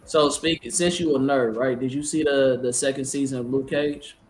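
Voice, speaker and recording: A man hosts a casual group video chat with loose, playful, slangy talk. His pitch is mid-range for a man. The call carries clear background noise. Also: steady tone, moderate pace. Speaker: host of an online debate tournament. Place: multi-person video call.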